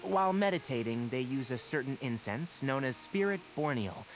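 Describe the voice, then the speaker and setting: A man playing a brisk, dry-witted young forest ranger master in a video game, articulate, instructive and mildly exasperated. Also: medium pitch, lively intonation, a little hiss or hum, close to an everyday voice, low-quality recording. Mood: calm, explaining.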